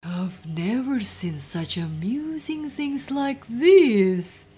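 A merry fairytale king, acted by a woman, speaks grandly for a children's storybook. The voice is husky and fairly high, with slow, amused delivery. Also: lively intonation, dark tone, exaggerated voice. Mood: merry, generous.

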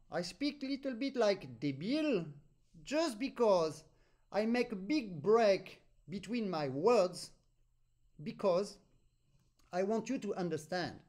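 French-accented man teaching over video call. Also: high-pitched. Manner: animated, deliberate pauses between phrases. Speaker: accordion teacher. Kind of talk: online master class instruction.

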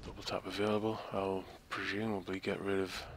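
A man's voice: deep voice, little pitch movement, fairly quick, rough recording. A man thinking aloud and commentating his own strategy game match with wry asides. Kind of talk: casual gameplay commentary. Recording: game music behind the voice. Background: game music.

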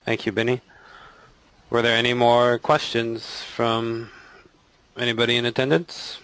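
A man's voice: mid-range voice, monotone, unhurried, fairly bright tone, poor audio. A man hosting an online meetup, politely passing questions on to the speakers. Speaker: meeting host.

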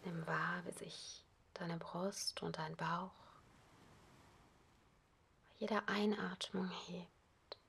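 A woman whispers a guided relaxation at a very slow, unhurried pace, her low voice gravelly and breathy. A faint hiss sits beneath her words, with soft ocean waves behind. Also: steady tone. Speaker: yoga nidra teacher.

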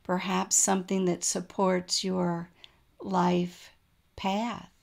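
A woman guiding a relaxation meditation as a yoga nidra teacher, in a gentle, soothing manner with unhurried pauses. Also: fairly low pitch, level tone, creaky.

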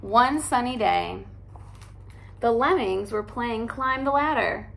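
A young woman, a teacher, reading a children's picture book aloud in an animated, expressive way at home. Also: a little high, slow, vocal fry. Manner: animated, expressive storytelling with character voices. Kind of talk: storybook read-aloud. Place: living room by a fireplace, indoors.

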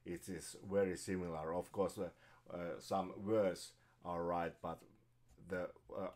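A middle-aged man with a Finnish accent, teaching jazz guitar at home in halting, hesitant English full of fillers and restarts. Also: low-pitched, flat delivery, darkish tone. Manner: halting, searching for words, many fillers and restarts. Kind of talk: casual guitar lesson. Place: small bedroom at home. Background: his own jazz guitar playing.

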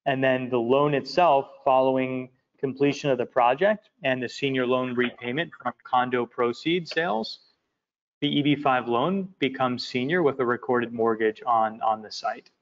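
A man in a middle register presents an investment project over slides. He talks in a halting, filler-heavy way and often repeats words. The audio is slightly muffled and lo-fi. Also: mid-range voice. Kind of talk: walking through presentation slides.

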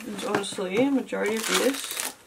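A woman's voice: mid-range voice, moderate pace, gravelly. A young woman in her twenties, chatting casually while tidying her room, rambling with lots of fillers. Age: 20s. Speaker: beauty vlogger. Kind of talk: casual clean-with-me chat. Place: cluttered bedroom beauty room, indoors. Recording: noisy home camera audio.

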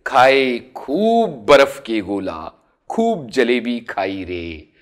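A man in his forties, a radio host, recounting a memory and then reciting a rural poem in an expressive, sing-song way, recorded indoors on a lapel mic. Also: on the low side. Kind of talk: storytelling poetry recitation. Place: indoor studio room.

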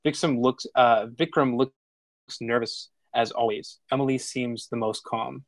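Male narrator for screenplay action lines, medium pitch, measured, plain delivery, dry close sound. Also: steady tone, no echo. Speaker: stage directions reader. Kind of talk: scripted narration.

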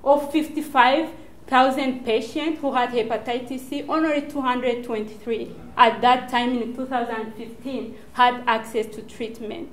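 A woman with a Rwandan accent presents her research in an echoey lecture room. Her voice sits fairly high, and she speaks earnestly with deliberate phrasing, as in a prepared public talk. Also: steady tone. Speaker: doctoral student presenting research. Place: small indoor lecture room, standing before a screen.

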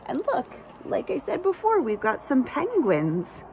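Woman, children's read-aloud, lively pitch, slow pace, chatty librarian asking the listeners questions. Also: low-quality recording. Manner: expressive, addressing young listeners with simple questions. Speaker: librarian reading a picture book aloud to children. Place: outdoors under a shelter among pine trees.

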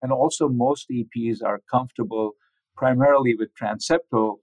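A man giving measured, methodical explanations as an interviewed medical researcher. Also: medium pitch, darkish tone, no room sound, rough recording.

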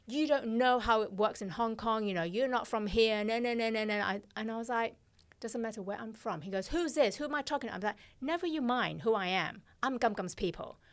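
A blunt, animated middle-aged woman tells stories on a podcast, swearing freely and acting out every exchange. Her voice sits at an ordinary middle pitch. She speaks close to a studio mic in a small studio. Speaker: podcast guest, fashion director. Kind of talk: casual interview storytelling. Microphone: studio mic on arm, headphones.

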